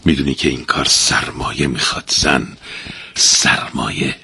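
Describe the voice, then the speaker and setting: A man's voice: extremely low pitch, fairly even intonation, vocal fry, sharp tone, slightly rough, dry recording, pitched below the performer's own voice, heavily acted. A man voicing a lazy, gluttonous, simple-minded husband in a storybook folk tale, whiny and bossy. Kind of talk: storybook reading.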